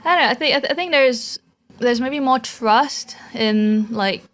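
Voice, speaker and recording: A woman's voice: fairly high pitch, normal speed, fairly bright tone. A young woman from Singapore with a Singaporean English accent, a guest on a live podcast, talking casually with many fillers and restarts. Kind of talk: casual chat. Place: live podcast in a small London venue.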